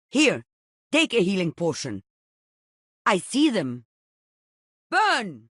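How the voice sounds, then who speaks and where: Casting: a knowing older battle healer in a game, slightly rough voice, dry close sound, stern. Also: female voice, deep voice, dry recording, heavily acted. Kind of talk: dramatic video game voice lines.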